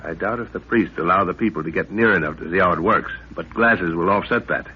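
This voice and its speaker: Husky, level-headed professor, old radio serial. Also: male voice, low-pitched. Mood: confident planning. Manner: deliberate, authoritative, explanatory. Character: level-headed professor, father and expedition leader. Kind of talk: 1930s radio drama acting. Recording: dull 1930s radio recording.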